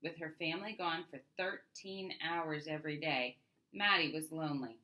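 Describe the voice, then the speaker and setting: A woman reading a children's story aloud as an expressive narrator. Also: sits low, normal speed, poor audio. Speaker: storybook reader.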